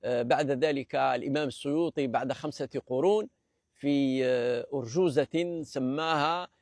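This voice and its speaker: A man's voice: mid-range voice, darkish tone, no room sound, clean studio sound. A man from Algeria giving an unscripted lecture, explanatory and hesitant, with many fillers and restarts. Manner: measured, explanatory. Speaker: presenter of a language talk series.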